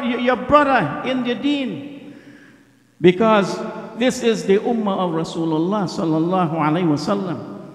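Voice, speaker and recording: A middle-aged man giving an impassioned Friday sermon at a mosque lectern, repeating lines for emphasis and reciting Arabic supplications quickly. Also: sits high. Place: mosque prayer hall. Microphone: stand mic at a lectern. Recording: echoey hall.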